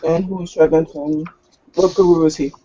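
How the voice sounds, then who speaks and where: Ill-tempered female pack leader, played by a man, prying and bossy, relaxed tone, lo-fi tabletop session. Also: a little high, normal speed, lightly acted. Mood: prying, disdainful. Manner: curt, imperious, prying.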